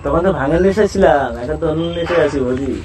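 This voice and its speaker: A man on a prank call, slyly suggestive and given to chuckling as he teases the other person. His voice sits a little high for a man. Steady noise runs clearly behind him. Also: fast talker. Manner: playful, suggestive, chuckling through his lines. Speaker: prank caller. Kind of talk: teasing prank phone call full of double meanings.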